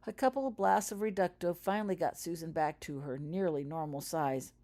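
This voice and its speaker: A woman's voice: fairly low pitch, brisk, warm tone. A woman reading her own story aloud as scripted audiobook-style narration. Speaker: author reading own fan fiction aloud.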